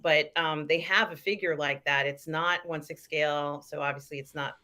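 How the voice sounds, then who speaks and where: A woman's voice: sits low, steady tone, normal speed, bright, forceful tone. A woman co-hosting a talk show, chatting casually as a fan.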